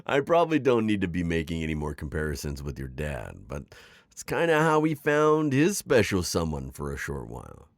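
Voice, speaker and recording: Man acting a low, coaxing villain mentor. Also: huge pitch swings, no room sound, studio quality, exaggerated voice. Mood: persuasive, teasing. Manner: coaxing, smooth-talking, chuckling, drawn-out teasing phrasing. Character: suave, manipulative male magical villain mentor. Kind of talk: ASMR roleplay acting, intimate one-sided dialogue.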